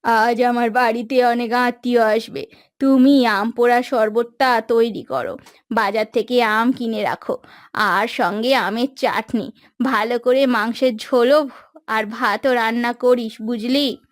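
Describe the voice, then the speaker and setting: A woman's voice: fairly high pitch, fairly even intonation, measured pace, voice pushed down, close to an everyday voice. Woman voicing a curt, bossy household mistress. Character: bossy, stingy household mistress, ordering her maid about.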